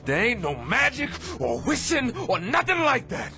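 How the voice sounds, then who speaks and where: Worn-out bitter old prizefighter, gravelly, voice swings all over, music underneath. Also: male voice, very high-pitched, huge pitch swings, bright, forceful tone, exaggerated voice. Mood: angry, anguished. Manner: working-class slang, emotional, halting. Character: battered, weary, embittered aging boxer, desperate and intense. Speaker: actor. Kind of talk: dramatic radio play acting. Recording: radio drama with music underneath.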